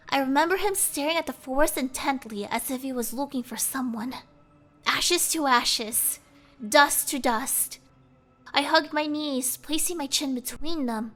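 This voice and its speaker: A woman's voice: sits high, a bit bright. A woman giving a suspenseful, theatrical reading of a horror story over background music. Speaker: let's player reading a visual novel aloud. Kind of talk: dramatic scripted narration of a horror story.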